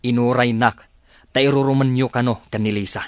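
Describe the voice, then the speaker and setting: A man playing a concerned father questioning his children in an expressive way, in a radio comedy drama. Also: mid-range voice, fairly quick, clear, resonant tone, no room sound, lightly acted.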